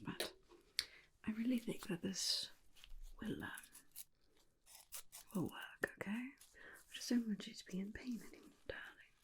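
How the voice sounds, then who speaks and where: A woman playing a gentle, doting female vampire in a breathy, soothing whispered ASMR personal attention roleplay. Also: medium pitch, lightly acted. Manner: soothing, slow, tender, reassuring.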